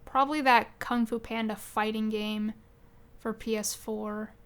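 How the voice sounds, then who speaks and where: High-pitched woman chatting casually. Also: steady tone, unhurried, clean tone. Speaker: podcast co-host. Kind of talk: casual chat about video games.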